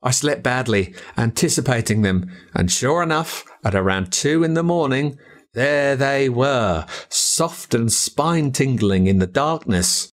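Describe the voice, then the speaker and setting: An Australian man narrates a storybook as dramatic first-person recollection. His mid-pitched voice moves up and down in a lively way, with an expressive, suspenseful delivery. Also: unhurried. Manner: expressive, suspenseful storytelling as a boy recalling events. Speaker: audiobook narrator.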